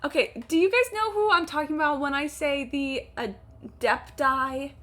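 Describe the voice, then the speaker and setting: Female game streamer: high, bright voice, lively swings, sweary and chatty. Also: moderate pace. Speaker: livestreamer. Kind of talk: casual gaming stream commentary.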